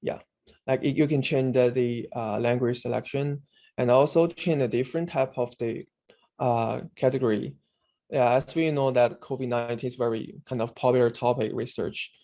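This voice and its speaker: A man giving a screen-share tutorial on searching a database, speaking haltingly with many fillers and restarts. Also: medium pitch, steady tone, dark tone. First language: Chinese. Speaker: instructor demonstrating a database.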